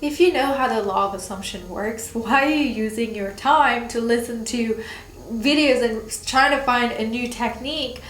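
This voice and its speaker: A woman's voice: a little high, normal speed, fairly bright tone, echoey room, a little hiss or hum, poor audio. A young woman hosting her own channel, chatting casually with lots of fillers, her voice nasal and congested from a cold. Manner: chatty, many fillers, laughs between words. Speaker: channel host. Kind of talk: casual chat to camera.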